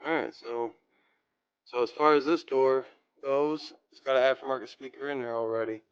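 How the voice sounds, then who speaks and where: A man's voice: mid-range voice, fairly even intonation, moderate pace, smooth, dull audio. A man giving a casual hands-on tutorial, rambling a little as he thinks aloud while working. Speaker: DIY car audio vlogger.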